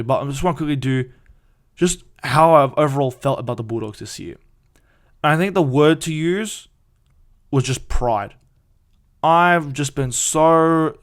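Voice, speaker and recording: A young man, hosting a casual solo fan podcast in an excited, rambling way with frequent restarts. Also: mid-range voice, smooth.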